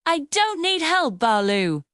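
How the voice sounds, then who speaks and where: A woman's voice: sits high, very animated, takes it slowly, a bit bright, no room sound, clean studio sound, pitched above the performer's own voice, exaggerated voice. A woman voicing a boastful, smug male fox in a children's animal story. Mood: proud, snappish. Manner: showy, smug, dismissive.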